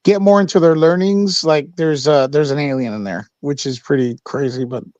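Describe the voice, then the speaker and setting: Male livestream host, loose and rambling delivery, muffled low-fidelity audio. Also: moderate pace, a little mellow, no echo. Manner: rambling, with many fillers and restarts. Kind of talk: casual chat. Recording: dull lo-fi audio.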